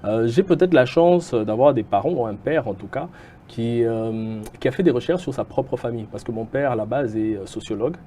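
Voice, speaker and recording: Un homme originaire du Kasaï, au Congo, invité d'une interview, qui répond avec beaucoup d'hésitations. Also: male voice, mid-range voice, a little fast, mellow, slight background noise. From Kasai, Congo. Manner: hesitant, many filler sounds. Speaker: guest, entrepreneur. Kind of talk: interview answers.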